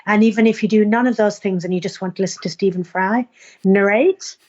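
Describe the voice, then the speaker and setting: An actress talks in an informal interview, earnest and full of emphatic enthusiasm. Her voice sits at an average pitch for a woman. The recording is slightly dull and lo-fi. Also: fairly quick, darkish tone. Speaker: actor being interviewed. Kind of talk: informal interview, promoting an audio play.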